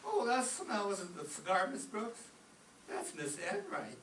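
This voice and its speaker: Actor doing excitable cheeky teen boy, gossipy, voice jumps all over, old radio comedy, far from mic. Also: medium pitch, a little slow. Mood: chatty, cheeky. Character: eager, cheeky teenage boy high school student. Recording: distant roomy stage pickup, faint noise.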